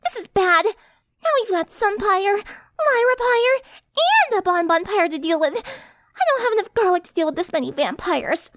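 Very high, animated cartoon girl. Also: normal speed, dry recording. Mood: alarmed. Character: hyperactive, high-pitched cartoon pony-girl, anxious and chatty.

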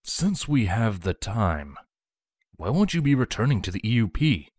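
A man voicing a devoted pony soldier husband in an audio story, earnest and expressive. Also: on the low side, moderate pace, no room sound, studio quality. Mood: questioning, frustrated. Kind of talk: audio story character reading.

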